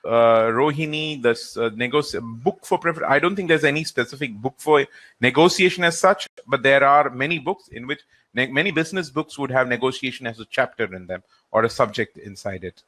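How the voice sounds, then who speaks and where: A trainer works through audience questions in a live session. His voice sits at a middle pitch, and his delivery is relaxed and halting, with many fillers. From India. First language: Hindi or Gujarati.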